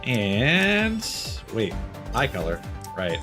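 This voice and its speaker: A man's voice: medium pitch, wildly swooping pitch, extremely slow, music in the background. A man livestreaming a video game with casual, rambling, sweary commentary.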